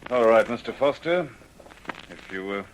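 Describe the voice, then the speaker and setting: An actor playing a young police constable in an old radio crime drama, official, patient and insistent. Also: male voice, medium pitch, animated, moderate pace, slight background noise, close to an everyday voice, low-quality recording. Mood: calm, probing. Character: firm, unflappable young male police constable.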